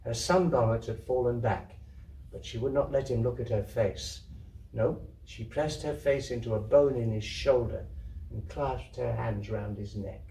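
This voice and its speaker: A man's voice: mid-range voice, unhurried, mellow. A man reading a literary story aloud, with dramatic, descriptive delivery. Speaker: story reader, theatre troupe member. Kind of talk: expressive literary narration to an audience. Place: indoor community venue. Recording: echoey room, distant microphone.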